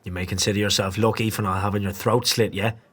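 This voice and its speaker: An actor playing a gruff, sardonic scavenger gang boss who taunts and threatens in a fantasy audio drama. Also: male voice, fairly low pitch, steady tone, fast talker, fairly bright tone. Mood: grudging, taunting. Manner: foul-mouthed, taunting, threatening.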